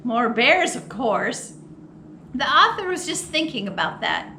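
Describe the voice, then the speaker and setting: Casting: female children's storytime reader, high-pitched and unhurried, theatrical delivery, faint noise behind. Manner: expressive, theatrical, with mock exasperation and drawn-out words. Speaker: storytime host at a public library. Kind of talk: animated read-aloud of a children's picture book.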